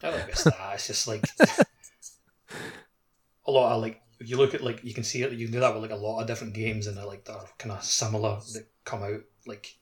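A man with a slightly low, level voice co-hosts a podcast. He speaks casually, in loose phrases full of hedging words. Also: little pitch movement, fairly quick. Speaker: podcast co-host. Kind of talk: casual discussion.

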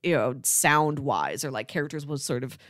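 A woman's voice: very deep voice, lively intonation, moderate pace, a bit bright, dry recording, clean studio sound. A woman co-hosting a film podcast, talking casually and thoughtfully, often peppering her sentences with "like". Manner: thoughtful, conversational, frequent "like" filler.

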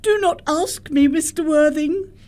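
Flustered, guilt-ridden governess woman acting, high pitch, unhurried and earnest, radio play. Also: darkish tone. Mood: ashamed, confessional. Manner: earnest, precise, melodramatic. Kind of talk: stage comedy radio acting.

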